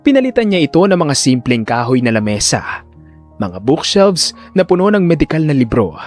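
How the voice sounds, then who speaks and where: A man narrating a scripted audio drama in a deliberate, solemn storytelling manner. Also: on the high side, big pitch swings. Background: background music.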